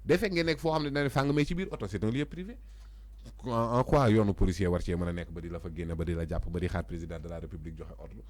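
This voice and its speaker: A man's voice: low-pitched, fast, a little mellow, no echo. A Senegalese man speaking as a guest commentator in a political discussion, emphatic and insistently repeating his phrases.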